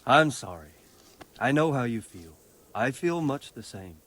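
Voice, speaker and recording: An actor playing a grave, world-weary wounded medieval lord in a video game. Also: male voice, mid-range voice, sing-song, unhurried, a bit bright. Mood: resigned. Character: weary, wounded medieval lord fretting over his castle. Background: music.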